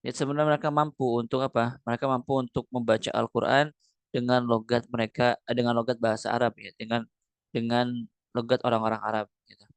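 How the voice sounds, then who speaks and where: A man's voice: mid-range voice, level tone, fast, smooth, no echo. A man teaching a Quran recitation class online, reading Arabic lines from a book and then explaining them, with many fillers and rhetorical questions.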